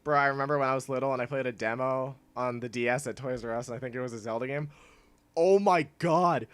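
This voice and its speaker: A man's voice: medium pitch, quick pace, a bit bright, clean tone. A young man streaming and chatting casually with his viewers, congested from clogged sinuses, rambling, often cutting himself off and laughing. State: congested, stuffy nose. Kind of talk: casual livestream chat, reading and answering chat.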